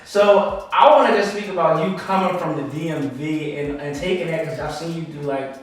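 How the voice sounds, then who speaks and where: A man hosting a podcast, asking questions in a casual, slangy way with quick bursts of speech. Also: a little high, fairly even intonation. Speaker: podcast host. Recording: echoey room, distant mic, faint hiss.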